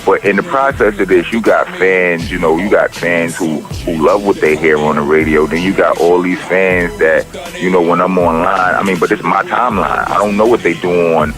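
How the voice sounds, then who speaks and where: A middle-aged man with a deep voice, a rapper talking casually in an interview, rambling and profane, with many restarts. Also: fairly bright tone.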